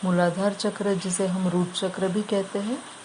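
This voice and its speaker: A woman leading a guided meditation, giving instructions in short, measured phrases with long pauses between them. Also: medium pitch, little pitch movement, darkish tone, slight background noise.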